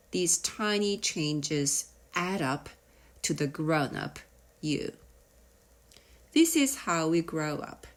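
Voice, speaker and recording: Woman reading a kids science audiobook, kinda low voice, really slow and explainy with pauses. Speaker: audiobook reader. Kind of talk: children's nonfiction audiobook narration.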